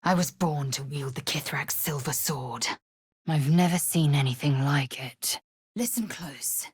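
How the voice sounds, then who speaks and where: A woman voicing a fierce, proud alien warrior in a video game, speaking with a husky rasp in a haughty, clipped, commanding and formal manner. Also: deep voice, a little slow, dry recording, heavily acted. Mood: solemn, intense. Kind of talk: video game character voice acting.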